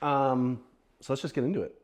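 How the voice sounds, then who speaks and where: A man's voice: mid-range voice, fairly quick. A man co-hosting a podcast in a casual, bantering style, often joking with his co-host.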